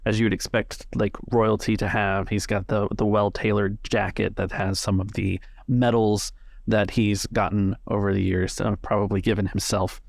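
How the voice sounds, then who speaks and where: Low, gravelly male game master. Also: little pitch movement, normal speed, no room sound. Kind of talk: narrating a tabletop role-playing game.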